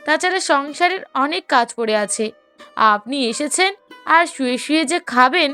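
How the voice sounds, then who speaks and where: A resentful young daughter-in-law from a cartoon drama, acted by a woman, scolds and orders people about. The voice is high-pitched, sharp and scornful, with music underneath. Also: expressive, moderate pace. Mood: angry scolding. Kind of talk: cartoon moral story voice acting.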